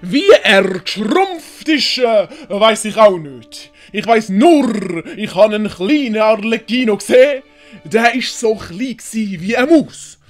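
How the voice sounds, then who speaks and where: A woman with a Swiss German accent voicing a battered, boastful male captain who speaks haltingly in an audio story. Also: fairly high pitch, lively intonation, faint music behind. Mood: shaken, halting. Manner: halting, groggy delivery. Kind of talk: hobby audiobook character acting.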